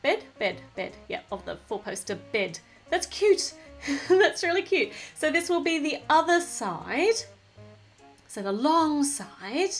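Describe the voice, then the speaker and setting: A woman's voice: sits high, big pitch swings, slow pace, soft background music. A woman casually narrating a toy build at a table, thinking aloud and gushing over the cute little details.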